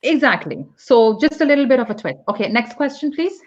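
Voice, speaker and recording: A woman's voice: high voice, very animated, brisk. Chatty woman teacher, muffled stream. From Pakistan. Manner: chatty, explains with examples, many ums. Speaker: English teacher hosting live class. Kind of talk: live online English lesson.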